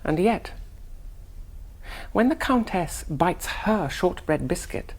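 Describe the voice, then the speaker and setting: An actor playing a polite, naive young English gentleman in a radio drama, genteel and hesitant. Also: high-pitched, lively intonation, slow, full character voice. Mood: wondering. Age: young adult. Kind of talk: BBC radio drama acting and first-person narration.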